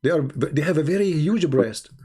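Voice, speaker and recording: A man's voice: a little high, moderate pace, mellow, breathy edge. A man hosting a heated call-in debate, mocking and pressing the same question again and again. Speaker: debate host.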